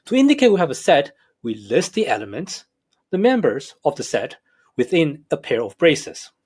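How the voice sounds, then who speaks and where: A math professor with a slight Hong Kong accent talks through a recorded lecture. His voice sits a bit high and rises and falls a lot. He explains in a measured, careful way. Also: wildly swooping pitch, darkish tone, slightly rough. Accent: Hong Kong English.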